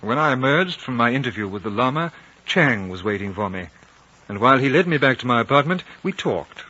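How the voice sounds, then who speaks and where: A man acting a thoughtful, incredulous traveler in his late thirties in a 1950s radio drama, speaking in a measured, earnest way. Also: mid-range voice, lively intonation, pushed and bright, a little hiss or hum. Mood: reflective. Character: thirty-seven-year-old thoughtful, incredulous Western man, narrating his story. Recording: muffled old 1950 radio recording.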